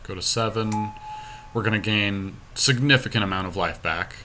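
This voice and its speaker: A man with a fairly low voice and a bright, forceful edge. He works through game moves out loud, counting and reasoning as he goes, in the casual style of a podcast co-host. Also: normal speed, slight background noise. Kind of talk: casual strategy commentary while playing a card game.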